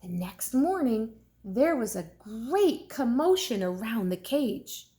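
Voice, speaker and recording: A woman reading a storybook aloud like a teacher, expressive and deliberate for young listeners. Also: a little high.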